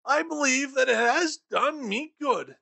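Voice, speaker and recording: A man's voice: very high voice, expressive, a little slow, vocal fry, exaggerated voice. A man voicing a cheerful, earnest young nephew in a storybook reading, pleading and emphatic. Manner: warm-hearted, pleading and emphatic.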